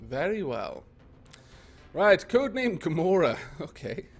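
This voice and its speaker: A man's voice: a little high, big pitch swings, unhurried, a little hiss or hum. A man casually commentating on a strategy game he is playing, thinking aloud with many fillers, a little congested from a cold. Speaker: gaming video host.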